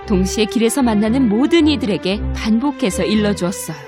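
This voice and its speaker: A woman narrating a children's cartoon story in a gentle storytelling manner. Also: mid-range voice, lively intonation, brisk. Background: loud background music.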